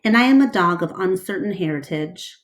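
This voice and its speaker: A woman's voice: fairly low pitch, fairly quick, close to an everyday voice. Woman voicing a drowsy, wry mongrel dog. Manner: murmuring, deadpan. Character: sleepy, wry, know-it-all male mongrel dog.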